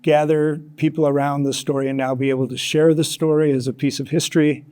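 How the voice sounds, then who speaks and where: An American man in his sixties with a mellow, relaxed tone set a little above average in pitch. He talks as an interview guest, thoughtful and reflective, often pausing mid-phrase as he finds his words. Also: smooth. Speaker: interview guest, author and storyteller.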